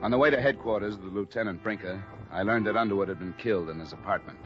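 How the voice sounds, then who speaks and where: An old, dull-sounding radio drama recording with prominent music. A man acts a terse, weary American insurance investigator with a very deep voice. He speaks in clipped, matter-of-fact phrases and keeps his guard up. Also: fast, natural voice. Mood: weary narrating. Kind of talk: 1950s radio detective drama with first-person narration.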